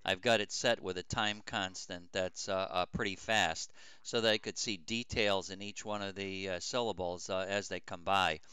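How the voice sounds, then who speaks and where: An older man with a low, very clear voice talks as a ham radio operator. His delivery is halting and explanatory, circling back over his words. The sound is crisp and close, with hardly any room. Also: bright, forceful tone, no room sound. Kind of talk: unscripted ham radio chat.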